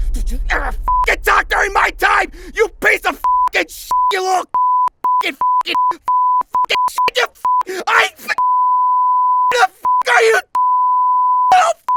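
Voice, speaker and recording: Wildly animated, high-voiced sarcastic woman narrator. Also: creaky. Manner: theatrical, sarcastic, foul-mouthed. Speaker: narrator, host. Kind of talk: comic scripted recap narration.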